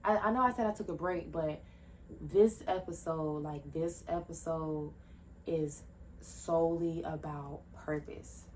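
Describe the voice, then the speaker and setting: A young woman in her twenties hosts her own podcast from home, talking in a loose, chatty ramble that drifts from one tangent to the next. Her voice sits low for a woman. Faint fireworks pop somewhere behind her. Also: steady tone, unhurried, a little mellow, slight background noise, poor audio. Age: 20s. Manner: rambling, jumps between tangents; loose, chatty, many fillers and restarts. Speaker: podcast host. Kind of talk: casual solo podcast talk. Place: at home, indoors. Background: faint fireworks popping.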